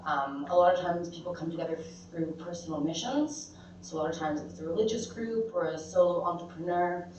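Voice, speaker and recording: Hesitant woman pitching her meetup. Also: fairly quick, a little mellow. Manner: hesitant, many fillers. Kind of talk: informal pitch. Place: meetup room indoors. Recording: echoey room, distant dull mic, faint hiss.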